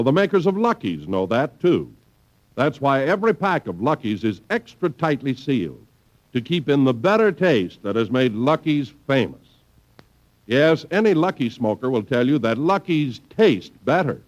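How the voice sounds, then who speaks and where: Smooth persuasive man announcer. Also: mid-range voice, highs cut off. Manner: smooth, persuasive sales delivery. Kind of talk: 1950s radio commercial reading.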